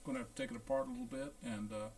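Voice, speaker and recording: A man talking through a hands-on equipment check as a repair technician, in a casual, unhurried, halting way, thinking aloud. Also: mid-range voice, huge pitch swings, vocal fry, darkish tone, a little hiss or hum. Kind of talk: casual hands-on demonstration narration.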